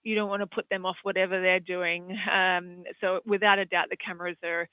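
Australian male equine vet, high-pitched, hesitant and rambly, dry phone-line audio. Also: level tone, fairly quick, clean tone, dry recording. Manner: rambling, hesitant, many fillers. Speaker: equine veterinarian. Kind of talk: expert answering audience questions.

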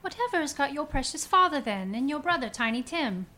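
Woman acting a indignant hard-up wife, higher-pitched, sharp-tongued, radio drama delivery. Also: sing-song, a little fast, exaggerated voice. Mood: expectant. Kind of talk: live radio play reading.

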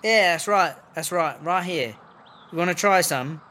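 A man's voice: high voice, a little slow, bright, forceful tone, a little hiss or hum. A man playing a crafty, foul-mouthed female prison wine brewer with a British accent, chummy and wheedling, in a comedy audio drama. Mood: chatty, sly.

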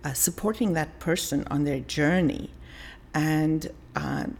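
South African woman in her sixties, gravelly. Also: deep voice, slow pace, a little mellow, a little hiss or hum. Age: 60s. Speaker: life and leadership coach. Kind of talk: podcast interview.

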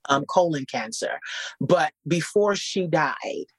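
A man with a naturally light voice, hoarse today and a little husky, telling his story animatedly as a guest. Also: slow, dry recording. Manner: animated, repeats phrases, jumps high for quoted voices. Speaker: guest musician. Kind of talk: casual interview storytelling.

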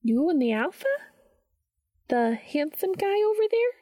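A woman's voice: fairly high pitch, wildly swooping pitch, normal speed, pitched above the performer's own voice. A woman voicing a cheery, sweet, folksy woman with a Minnesota accent in an audiobook story. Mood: hesitant. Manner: folksy, pleasant.

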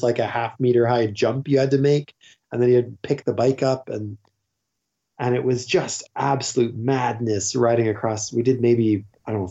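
Man, from Canada, 40s, rambling casual stories, mellow tone, dull audio. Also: mid-range voice, breathy edge. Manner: rambling, self-interrupting, with frequent fillers and restarts. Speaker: podcast guest; teacher. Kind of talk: casual conversational storytelling.

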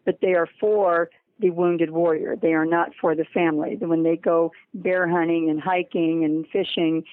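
An American woman with a slightly low voice is interviewed over a thin, phone-like line. She explains her program emphatically, repeating words to drive them home. The sound is close and dry, with little room to it. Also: fairly even intonation, normal speed, no echo. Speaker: guest, head of a horse-riding charity.

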